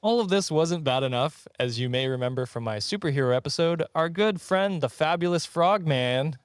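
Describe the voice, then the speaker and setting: A man's voice: on the high side, expressive, moderate pace, clean tone, no room sound, clean studio sound. A man co-hosting a podcast, telling a comic story casually, tripping over his words, giggling and swearing.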